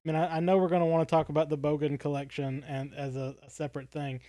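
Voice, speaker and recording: Higher-voiced man, hesitant casual podcast talk. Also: little pitch movement, fast, warm tone, dry recording, studio quality. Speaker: podcast co-host. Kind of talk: casual fan discussion.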